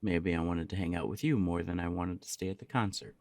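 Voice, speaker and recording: A man's voice: deep voice, steady tone, brisk, darkish tone, no room sound. A young man playing an easygoing, affectionate punk roommate in an intimate ASMR roleplay, chatting casually and teasingly. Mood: defensive, earnest. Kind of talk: intimate ASMR roleplay, one side of a conversation.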